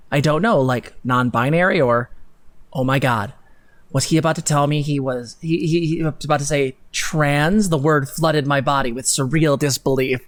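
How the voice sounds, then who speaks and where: A young adult man with a fairly high voice hosts a live stream. He reads aloud theatrically and breaks off for sarcastic, sweary asides. The sound is close and dry. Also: no echo. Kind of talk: dramatic reading with sarcastic commentary. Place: home room, indoors.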